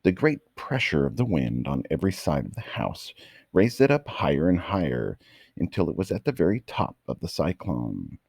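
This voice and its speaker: A man with a gravelly, husky voice reading a children's bedtime story aloud in an expressive, dramatic manner. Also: extremely low pitch, no echo, clean studio sound.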